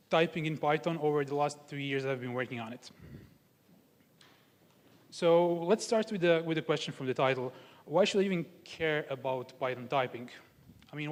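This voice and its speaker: Young man from Croatia giving a tech talk. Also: a little high. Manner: explanatory, walks through examples step by step. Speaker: conference speaker. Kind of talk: technical conference talk. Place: large conference hall. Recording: long hall reverb.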